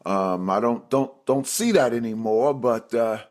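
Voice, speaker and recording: A man's voice: mid-range voice, unhurried, darkish tone. A man hosting a cruise podcast, reading an enthusiastic intro and then chatting with many fillers. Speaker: podcast host. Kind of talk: interview podcast.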